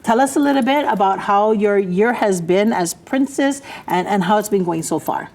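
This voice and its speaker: A woman's voice: mid-range voice, normal speed, a little mellow. Friendly woman co-hosting a TV show. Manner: friendly, encouraging, local slang like "sister" and "right on". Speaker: TV show co-host. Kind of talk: casual TV interview chat.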